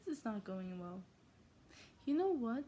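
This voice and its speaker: A woman's voice: fairly high pitch, big pitch swings, measured pace, dark tone, slight background noise. Self-mocking woman chatting casually. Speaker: channel host reading a novel aloud.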